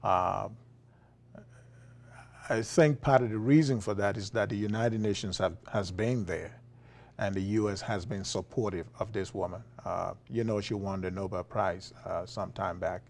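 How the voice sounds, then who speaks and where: A man with a Liberian accent, a philosophy professor being interviewed, talking in a relaxed way with frequent fillers and chuckles. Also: fairly low pitch, normal speed, gravelly. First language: Liberian English. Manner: chuckling, many uh fillers and restarts. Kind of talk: conversational interview.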